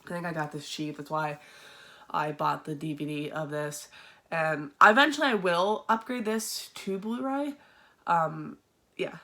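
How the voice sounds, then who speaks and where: Young woman, low voice, crisp bright tone, nasal and congested, casual rambling horror chat. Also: a little slow. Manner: chatty, lots of ums and restarts, laughs often. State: stuffy, congested from a sinus infection. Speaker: horror movie YouTuber.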